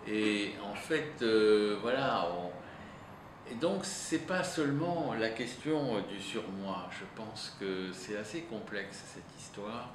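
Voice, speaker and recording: Slow, hesitant man in an echoey room. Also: on the low side, expressive. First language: Italian. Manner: hesitant, thinking aloud with frequent pauses. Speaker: psychoanalyst interviewee. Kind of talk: reflective interview answer. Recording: roomy echo, distant mic, noisy.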